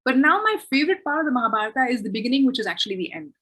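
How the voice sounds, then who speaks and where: A woman's voice: fairly high pitch, sing-song, rapid-fire, a bit bright, husky. A woman author answering questions in a webinar, thoughtful and self-correcting, with frequent restarts.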